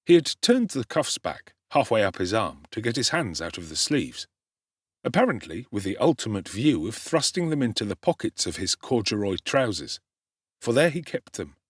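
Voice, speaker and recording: A man giving a theatrical, expressive narration of a literary audiobook. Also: lowish voice, normal speed, breathy edge, no echo, studio quality.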